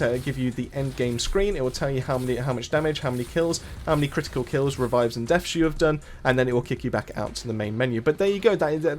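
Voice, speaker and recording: A man narrates a casual video game walkthrough as a channel host. His voice sits a little higher than average and he talks at a rapid clip. He explains in a wordy way, often repeating and rephrasing himself. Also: a little hiss or hum.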